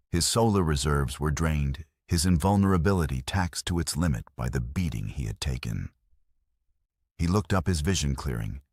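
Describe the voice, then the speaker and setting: A man's voice: rumbling low, husky, no echo, clean studio sound. A man giving a solemn, scripted dramatic narration of a fiction story. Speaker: audio novel narrator.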